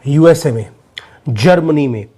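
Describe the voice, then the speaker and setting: A man commenting on news in mixed English and Hindi, measured and listing point by point. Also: a little high, expressive, dark tone, slightly rough.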